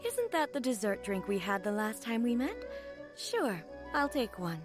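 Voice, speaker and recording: A woman playing a dignified, composed female ruler in a video game, speaking formally and politely with measured phrasing. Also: a little high, wildly swooping pitch. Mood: thoughtful. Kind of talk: video game cutscene acting. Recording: music behind the voice.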